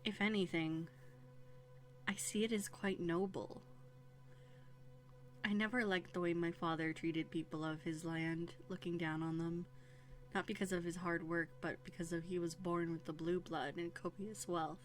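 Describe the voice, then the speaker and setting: A woman's voice: fairly low pitch, steady tone, moderate pace, soft background music, lightly acted. A woman playing a haughty, wary young runaway princess in a scripted audio roleplay, speaking in prim, formal phrases with dramatic hesitations. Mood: earnest. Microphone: close USB studio microphone.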